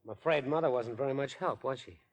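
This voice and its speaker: Man playing a husky, insinuating villain. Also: mid-range voice, fast talker, dry recording, natural voice. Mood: feigned concern. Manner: polite, hesitant, sly. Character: smooth, insinuating young male villain feigning concern. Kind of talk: 1950s radio acting. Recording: vintage 1950s radio broadcast.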